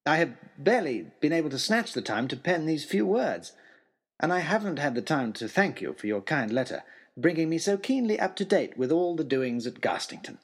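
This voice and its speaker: Husky, animated male storyteller. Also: fairly high pitch. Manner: theatrical, deliberate dramatic pauses. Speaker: narrator of a serial audio story. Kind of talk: scripted first-person adventure narration, in old-fashioned letter form.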